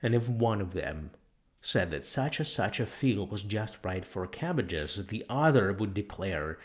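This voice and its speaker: A man reading a literary story as an audiobook narrator, with measured, deliberate, dramatic phrasing. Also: lowish voice, very animated.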